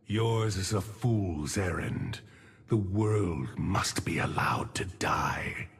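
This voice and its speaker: A grim, world-weary old necromancer from a video game, drenched in long reverb. He speaks extremely slowly in a gravelly rasp, low and solemn, brooding over the dead. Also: animated, exaggerated voice. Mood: grim, menacing. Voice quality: gravelly, husky, breathy. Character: weary, grim old male necromancer shepherd of lost souls. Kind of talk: video game character voice lines.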